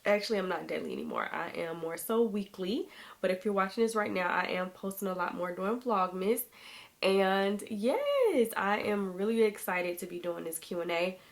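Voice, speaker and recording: A woman's voice: medium pitch, expressive, normal speed, vocal fry. A thirty-year-old woman vlogging to camera in a chatty, casual way, her throat a little sore as she gets over being sick. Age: 30s. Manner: chatty, addresses viewers as y'all. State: recovering from illness, sore throat.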